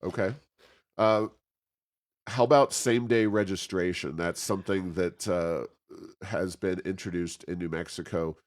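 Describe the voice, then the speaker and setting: A man conducting a conversational interview, asking questions in a halting, hesitant way. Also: low voice, fairly even intonation. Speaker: podcast interviewer.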